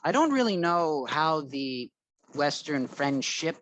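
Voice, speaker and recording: A man's voice: fairly high pitch, big pitch swings, takes it slowly, fairly bright tone, a little hiss or hum, poor audio. A young man from Thailand chatting casually, explaining his ideas in a rambling, tentative way. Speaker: chat participant.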